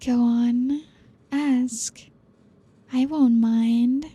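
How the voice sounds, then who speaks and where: A young woman voicing a teasing, possessive aristocratic vampire in an ASMR roleplay, speaking slowly and softly with hushed whispers. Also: fairly high pitch, husky, exaggerated voice.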